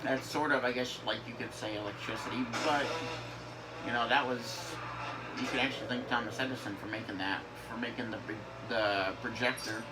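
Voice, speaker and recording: A young man chats loosely while he plays, stumbling over words and circling back with "you know" again and again. His voice sits at an ordinary middle pitch, and loud car and engine noise from the game runs underneath him. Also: steady tone. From northern United States. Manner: halting, many fillers and restarts. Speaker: gaming YouTuber. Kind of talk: rambling casual commentary over gameplay.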